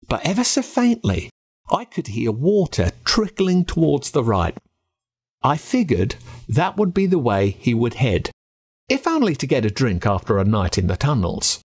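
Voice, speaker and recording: Lively Australian man reading a spooky story. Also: mid-range voice, husky. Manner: expressive, dramatic telling of a spooky tale. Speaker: audiobook narrator. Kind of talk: first-person storybook narration.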